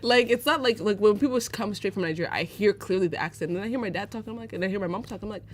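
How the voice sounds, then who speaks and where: A woman's voice: mid-range voice, very fast. A young American woman from Arizona giving a casual live photo-editing tutorial, chatty and full of fillers, laughing easily. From United States (Arizona). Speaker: livestream presenter teaching photo editing.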